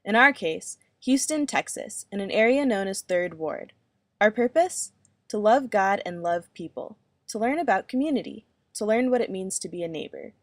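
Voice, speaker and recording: A young woman narrating a scripted documentary in an earnest, measured way. Her voice sits in a middle register and the recording is close and dry. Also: mid-range voice, lively intonation, no echo. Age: young adult.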